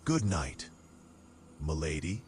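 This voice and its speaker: A man's voice: extremely low pitch, sing-song, takes it slowly, darkish tone, soft background music, exaggerated voice. A man playing a stern, dutiful castle knight who speaks formally in a fantasy audio drama. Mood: firm.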